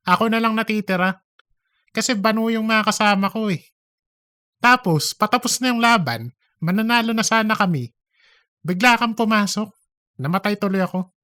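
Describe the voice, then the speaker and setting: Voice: medium pitch, pushed and bright, dry recording, studio quality, lightly acted. A performer acting out chatty office colleagues in a comedic audio drama, with an exaggerated, teasing conversational manner. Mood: whiny.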